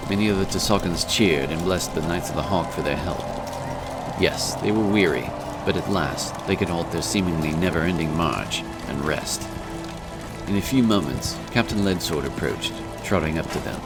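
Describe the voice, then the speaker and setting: A man with an extremely deep voice narrates a fantasy story from a script. His delivery is serious and measured, with music clearly playing behind him.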